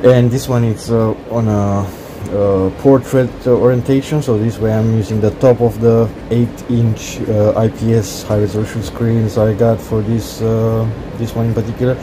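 A man casually walking through his home-built cockpit project, talking haltingly with many fillers. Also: lowish voice, level tone, background noise. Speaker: hobbyist cockpit builder. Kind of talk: casual build walkthrough. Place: workshop.

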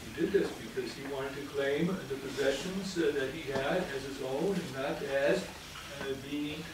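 A man in his fifties, a priest giving a sermon in a halting, hesitant manner with repeated phrases. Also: medium pitch. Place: indoors at a church pulpit or lectern, reverberant. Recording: distant mic in echoey room, clear background noise.

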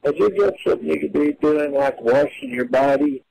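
A man's voice: high-pitched, big pitch swings, a little fast, gravelly, warm tone, low-quality recording. A foul-mouthed, confrontational man talking crudely over the telephone. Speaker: prank caller played from a soundboard. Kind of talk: crude phone chat.

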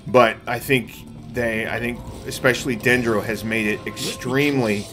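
A man's voice: medium pitch, fairly even intonation, moderate pace. Middle-aged man streaming over game music. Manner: explanatory, talking through play to viewers. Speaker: gaming streamer. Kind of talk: casual live gameplay commentary. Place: indoor room at home. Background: video game music.

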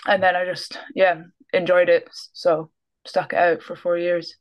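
A woman's voice: lowish voice, little pitch movement, measured pace. Scottish woman, young adult, laid-back interview guest over video call. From Scotland. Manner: easygoing, laughs often, trails off. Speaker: guest basketball player. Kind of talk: casual interview. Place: small room indoors.

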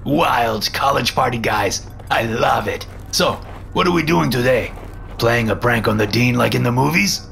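A man's voice: medium pitch, expressive, unhurried, gravelly, fairly bright tone, voice pushed up, heavily acted. A man voicing an eccentric, over-friendly landlord with a foreign accent and broken phrasing, in a told story, with music behind. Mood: jovial, teasing. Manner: jovial, intrusive, broken phrasing. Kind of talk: storytelling character voice.

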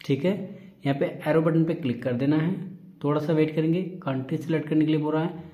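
A man's voice: a little high, fairly even intonation, fast, highs cut off. A man giving an informal step-by-step phone tutorial, explaining in a reassuring, repetitive way. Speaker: tech tutorial presenter. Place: indoor room. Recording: echoey room.

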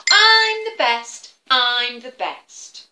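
A woman voicing a boastful male golden eagle in a children's story, bragging slowly and loudly in a sing-song way. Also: high voice, very animated, roomy sound, full character voice.

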